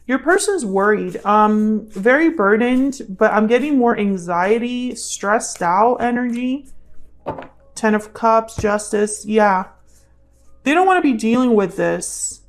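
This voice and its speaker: A woman hosting a tarot reading in a casual, intuitive style, thinking aloud and often repeating her questions and phrases. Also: mid-range voice. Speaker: tarot reader, channel host.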